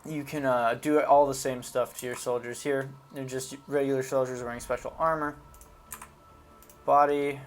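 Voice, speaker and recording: A man's voice: medium pitch, level tone, normal speed, a little hiss or hum. A man giving casual, unscripted commentary on a video game, talking informally and hesitantly as he thinks aloud. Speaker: gaming video host.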